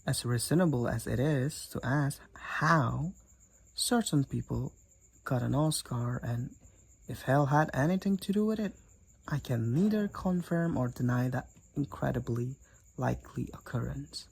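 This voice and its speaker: A man's voice: mid-range voice, lively intonation, unhurried, creaky, darkish tone, breathy edge, exaggerated voice, poor audio. A man playing a candid, teasing demon college roommate and hot-headed gamer in a roleplay audio drama, talking bluntly and conversationally to the listener. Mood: matter-of-fact, wry.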